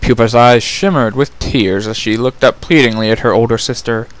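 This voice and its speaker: A man's voice: mid-range voice, steady tone, normal speed. A man narrating a fantasy story as a scripted dramatic reading.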